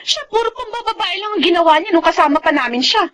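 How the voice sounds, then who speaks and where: A woman's voice: very high voice, lively intonation, fast, fairly bright tone. An actress playing a bitter, protective young separated mother in a Filipino radio drama, with a Filipino accent. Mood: angry, hurt.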